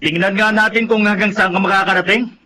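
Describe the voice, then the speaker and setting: An actor with a Filipino accent playing a cocky, jeering older brother and carefree gambler in a radio drama. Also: male voice, high voice, fairly even intonation, quick pace, pushed and bright. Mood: mocking. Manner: brash, jeering.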